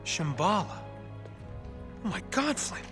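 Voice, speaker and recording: An American actor playing a cocky, wry treasure hunter in a casual, conversational way for a video game cutscene. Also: male voice, high voice, big pitch swings, slow pace, full character voice. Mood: excited. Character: cocky, wisecracking male treasure hunter, adaptable and improvising. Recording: cinematic music behind the voice.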